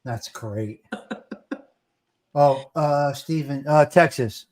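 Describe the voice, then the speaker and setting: Casual livestream host: male, New Jersey accent, plain-spoken, mid-pitched, emphatic repetition. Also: drawn-out words, darkish tone. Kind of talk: casual chat.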